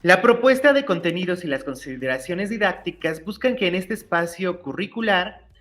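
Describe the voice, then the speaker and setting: A man, hosting his own channel and clearly explaining teacher-exam study material in an upbeat, explanatory way. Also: high voice, expressive, moderate pace, fairly bright tone, faint music behind. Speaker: YouTube channel host, teacher-exam tutor. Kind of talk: educational explainer reading curriculum text.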